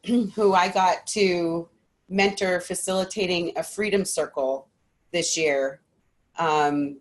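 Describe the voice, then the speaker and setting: A woman hosts a group call, speaking slowly in a very low voice. She affirms others and hands over in an unhurried way. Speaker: call host.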